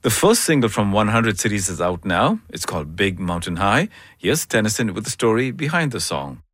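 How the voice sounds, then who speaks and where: A man hosting a radio arts segment, reading scripted introductions in a smooth, measured way. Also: lowish voice, very animated, a bit bright, no echo, studio quality. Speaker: radio host.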